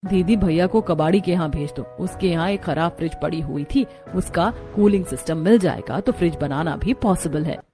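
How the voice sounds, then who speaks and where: A woman's voice: lowish voice, normal speed, hardly any pauses, mellow, faint music behind, lightly acted. A woman voicing a practical, resourceful young Indian daughter-in-law in a Hindi cartoon story.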